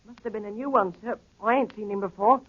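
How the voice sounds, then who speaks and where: Quick-talking page boy, acted by a male performer. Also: sits high. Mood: deferential. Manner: deferential, uneducated grammar, eager. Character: young cockney page boy, earnest and deferential. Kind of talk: 1930s radio acting. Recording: dull old 1930s radio broadcast.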